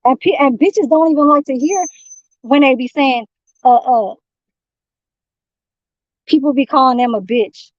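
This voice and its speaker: Lady going off on a livestream, cussing a lot, kinda high voice, muffled mic. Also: unhurried, pauses often, no echo. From San Francisco, United States. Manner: profane, confrontational, rambling. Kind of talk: heated livestream rant. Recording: dull lo-fi dry stream audio.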